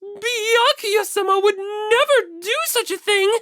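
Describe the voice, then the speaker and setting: Casting: an obsessive, infatuated teenage girl, shrieky and high, easily flustered. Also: slow pace, bright, forceful tone, dry recording, studio quality, exaggerated voice. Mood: indignant shrieking. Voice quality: shrill. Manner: frantic, stammering.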